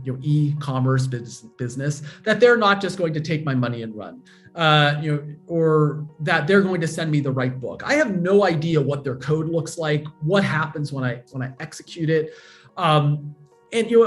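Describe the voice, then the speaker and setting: A man, a guest on a remote interview, patiently explaining technology with everyday examples. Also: on the high side. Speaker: guest, blockchain educator. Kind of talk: casual explanatory interview talk.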